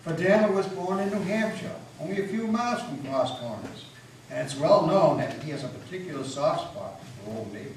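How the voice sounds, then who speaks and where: A man's voice: on the high side, animated, brisk. A man giving a dramatic story reading as a folksy narrator. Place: public meeting house, indoors. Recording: very echoey hall, distant mic, faint noise.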